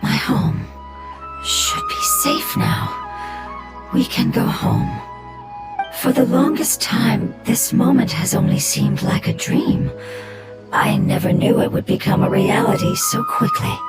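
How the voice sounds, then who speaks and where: A woman voicing an ancient, sorrowful non-human being in a video game, calm, solemn and formal. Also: medium pitch, slow pace, full character voice. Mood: wistful. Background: game music.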